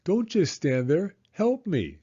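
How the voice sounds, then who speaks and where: A man's voice: sits high, wildly swooping pitch, takes it slowly, warm tone, husky, lo-fi sound, pitched above the performer's own voice, full character voice. A man voicing a sulky, jealous young boy in a children's storybook, whiny and grumbling. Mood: pleading.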